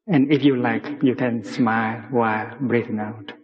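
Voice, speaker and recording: A man leading a guided mindfulness meditation, speaking gently and deliberately in short phrases with long pauses between them. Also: medium pitch, fairly even intonation. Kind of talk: guided meditation talk to a community. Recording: muffled recording in a long-reverberant hall.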